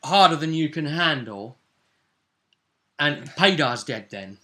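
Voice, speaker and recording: A man's voice: on the high side, animated, takes it slowly, pushed and bright. A man with a British accent talking casually as a game master, looking back over a role-playing session. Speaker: game master and vidcast host.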